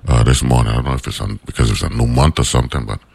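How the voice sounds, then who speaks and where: A man with a deep baritone voice hosting a morning radio talk show from a studio in the US Virgin Islands, chatty, with lots of fillers and "right?" tags. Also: rapid-fire, gravelly, a little mellow, husky. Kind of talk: casual radio monologue.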